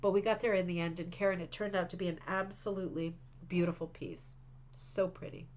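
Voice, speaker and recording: A woman with a slightly low voice and a touch of congestion hosts a relaxed craft club stream. She chats warmly and eagerly about viewers' projects, often restarting her sentences as she goes. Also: fairly quick, a little hiss or hum. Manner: chatty, enthusiastic, frequent restarts and fillers. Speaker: host of a craft club livestream. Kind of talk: casual chat reading viewer messages.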